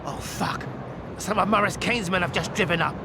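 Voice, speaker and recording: An actor playing a stern, commanding gangster in a game cutscene, speaking formally without contractions. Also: male voice, high-pitched, normal speed, gravelly, noisy background. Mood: disgusted, angry. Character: male London gangster, squeamish but commanding and stern. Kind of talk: dramatic game cutscene acting.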